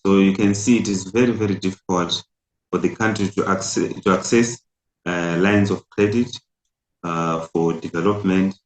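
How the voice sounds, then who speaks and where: A middle-aged man from Zimbabwe, an imam and community leader, answering interview questions with frequent hesitant pauses. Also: low voice, fairly even intonation. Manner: hesitant, many filler pauses. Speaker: imam and national community president. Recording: roomy echo.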